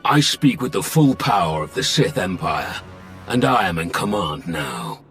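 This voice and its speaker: An actor playing a menacing, coldly commanding Sith lord in a video game, imperious and deliberate. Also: male voice, low-pitched, big pitch swings, fairly bright tone, faint music behind, full character voice. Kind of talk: video game cutscene acting.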